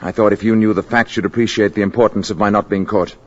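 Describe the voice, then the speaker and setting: Male actor for calm alien in human guise: very low, measured, earnest, vintage radio sound. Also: natural voice. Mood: solemn. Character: calm, solemn male spaceman passing as human. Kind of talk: 1950s radio drama acting. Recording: dull vintage radio recording.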